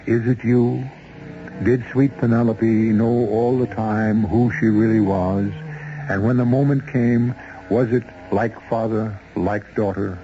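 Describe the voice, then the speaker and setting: An actor playing a bitter, doomed man in a 1970s radio drama, measured and dramatic. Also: fairly low pitch, steady tone. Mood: bitter, fatalistic. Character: doomed, bitter man reading out his last letter. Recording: dull, hissy old radio recording.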